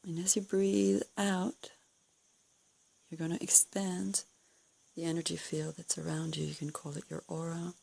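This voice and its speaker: A woman leading a guided meditation, giving calm, unscripted instructions in a soothing, breathy way with gentle pauses. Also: on the low side, poor audio. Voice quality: breathy, husky, creaky.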